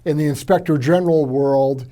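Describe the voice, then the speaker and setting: Mellow-voiced male host, halting starts. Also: fairly high pitch. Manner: halting, repeats words when starting sentences. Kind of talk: interview hosting. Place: studio.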